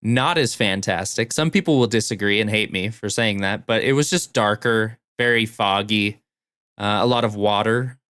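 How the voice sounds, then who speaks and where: A man's voice: fairly low pitch, moderate pace, fairly bright tone, smooth, dry recording. A man co-hosting a podcast, talking informally about games in a conversational way, close to the microphone. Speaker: podcast co-host. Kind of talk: casual gaming podcast chat.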